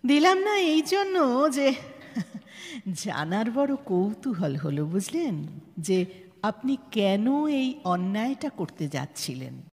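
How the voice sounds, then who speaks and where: In a long, hall-like reverb, a woman acts out a stern woman in charge of an organisation, speaking with a Bengali accent. Her delivery is formal and probing, with lively swings of pitch, in the style of an audio drama. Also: exaggerated voice. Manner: measured, interrogating, firm and formal. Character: composed, authoritative woman heading a welfare organisation, probing and stern.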